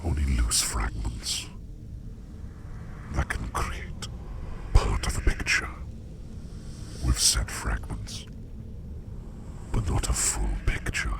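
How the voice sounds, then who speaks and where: A man playing a curious, enigmatic sleep demon in an ASMR roleplay, whispering slowly in formal, musing phrases with a gravelly creak. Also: background noise, full character voice. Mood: intrigued, courteous. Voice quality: breathy whisper over very deep gravelly creak.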